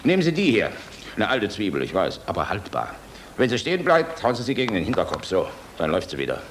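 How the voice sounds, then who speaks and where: Deep-voiced, weary, resolute general. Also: male voice, huge pitch swings, quick pace, a little hiss or hum. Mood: gruff, fatherly. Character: weary, resolute air force general, commanding and fatherly. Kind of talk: German radio drama acting.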